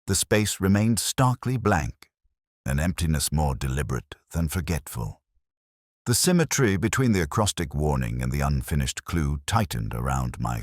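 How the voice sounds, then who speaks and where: Very deep-voiced male mystery narrator. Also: no room sound, studio quality. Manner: measured, literary, solemn storytelling. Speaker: audio drama narrator. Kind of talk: scripted first-person detective story narration.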